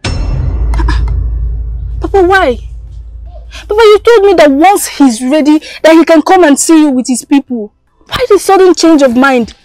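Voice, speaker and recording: A woman's voice: a little high, expressive, a little slow, pauses often, darkish tone, dry recording, faint music behind, rough recording. A young woman with a Nigerian accent playing a caring, pleading daughter in a film drama. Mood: upset, pleading. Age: young adult.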